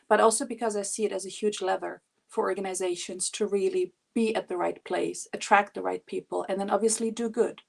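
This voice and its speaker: A woman's voice: mid-range voice, gravelly. A woman in her forties from Germany, introducing herself on an online panel in an earnest, thoughtful and expansive way. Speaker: panelist, HR executive.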